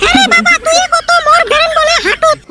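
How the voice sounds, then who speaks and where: A woman's voice: flat delivery, fairly quick, very noisy. A woman voicing a quarrelsome, scatterbrained cartoon village wife with a Rajbongshi Bengali accent and an artificially raised voice. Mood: defiant. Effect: artificially raised cartoon pitch. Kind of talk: comic cartoon dialogue. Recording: loud background music.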